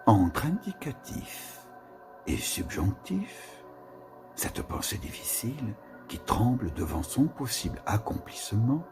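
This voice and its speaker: Slow gravelly man reading over music. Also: sits low. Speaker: reader of a literary text.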